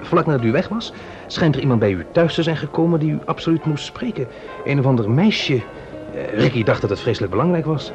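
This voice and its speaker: A man playing a polite, hesitant, apologetic gentleman in a vintage Dutch radio drama. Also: a little high, lively intonation, a little fast. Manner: hesitant, repeats words. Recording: muffled old radio recording, music behind.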